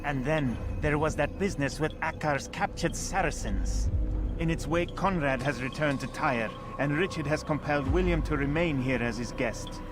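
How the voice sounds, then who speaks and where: A fairly high male voice of a wry medieval informant in a video game, acted by a man. He explains things in formal phrases, with music underneath. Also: moderate pace, close to an everyday voice. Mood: informing. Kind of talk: video game cutscene dialogue. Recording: music behind the voice.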